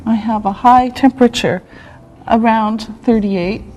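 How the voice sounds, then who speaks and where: A woman teaching a classroom vocabulary lesson, saying each phrase slowly and repeating it. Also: a little high, steady tone, darkish tone, slight background noise, low-quality recording. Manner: slow, drawn-out repetition of words. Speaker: English teacher.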